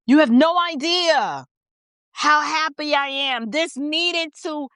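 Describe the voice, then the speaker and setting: Female American political host, high voice, dry close sound, emphatic and blunt off-the-cuff delivery. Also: slow pace, a bit bright, dry recording. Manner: blunt, emphatic, repeating phrases for stress. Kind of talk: opinionated off-the-cuff commentary.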